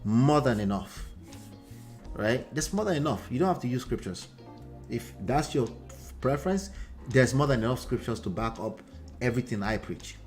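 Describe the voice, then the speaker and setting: Animated Nigerian man, emphatic repetitions. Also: medium pitch, moderate pace, long pauses, soft background music. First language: Yoruba. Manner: animated, repeats phrases for emphasis, switches between loud appeals and quiet asides. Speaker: relationship coach and host. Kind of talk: casual live-stream commentary reading viewer comments.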